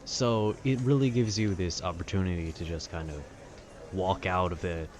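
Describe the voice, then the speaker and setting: A man in a calm, casual, nerdy ramble about video games, meandering and often interrupting himself. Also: deep voice, moderate pace. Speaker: solo audio creator rambling to the listener. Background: urban ambience.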